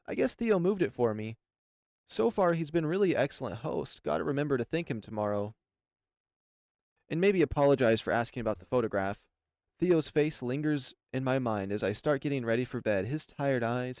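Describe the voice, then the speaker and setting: A man's voice: mid-range voice, a little mellow, dry recording, clean studio sound. A man reading a visual novel aloud as first-person narration for a channel. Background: music.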